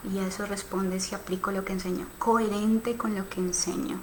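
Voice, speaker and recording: A woman's voice: medium pitch, steady tone, brisk, slight background noise. Colombian woman in her thirties, musing aloud. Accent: Colombian, from Cali. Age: 30s. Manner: reflective, thinking aloud with many fillers and tag questions. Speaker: live stream host on sexuality. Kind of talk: casual live stream, answering viewer comments.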